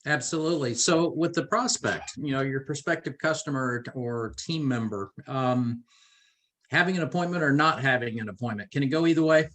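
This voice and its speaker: A man's voice: medium pitch, normal speed, fairly bright tone. A middle-aged man hosting a conversational business training interview in an enthusiastic, encouraging way. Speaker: host.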